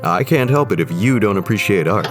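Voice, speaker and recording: A deep-voiced, brooding husband played by a male actor in an audio horror drama. He is gruff and curt, bitter and belittling toward his family. Clear music plays behind his low voice. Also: expressive, fairly quick, natural voice. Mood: gruff, dismissive. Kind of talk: audio horror anthology acting.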